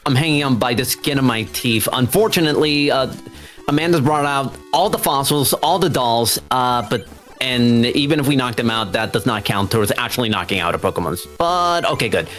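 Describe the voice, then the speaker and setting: A man of average pitch talks casually through his live gameplay. His delivery is halting and self-interrupting, with music faintly audible underneath. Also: expressive, fairly bright tone. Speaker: speedrunner talking while playing. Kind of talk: casual live play chat. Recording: music behind the voice.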